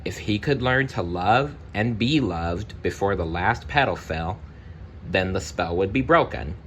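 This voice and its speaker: A man with a deep voice reads a children's storybook aloud at an unhurried, slow pace. His delivery is deliberate and expressive, like storytime for young listeners. There is noticeable noise behind him. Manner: deliberate, expressive narration with brief personal asides. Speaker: storybook reader.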